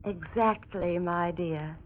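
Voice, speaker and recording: An actress playing a vengeful murderess in a 1940s radio thriller, theatrical and coolly menacing. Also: female voice, fairly low pitch, slow pace. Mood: smug, icy. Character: cold, vengeful murderess who faked being a ghost. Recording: very muffled old radio recording.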